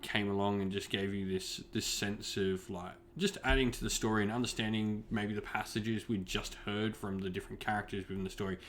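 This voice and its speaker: A man's voice: fairly low pitch, fairly even intonation, brisk, few pauses, fairly bright tone, a little hiss or hum. A man hosting a hobby gaming channel, giving a spoken review in loosely phrased, explanatory talk.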